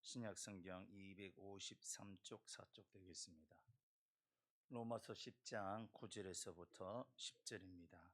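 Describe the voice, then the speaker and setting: A male pastor, hoarse from a throat cold, slowly reading scripture and introducing a guest speaker. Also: sits low, steady tone, a bit bright. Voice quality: gravelly, husky. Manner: slow, deliberate scripture reading. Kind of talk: church service introduction.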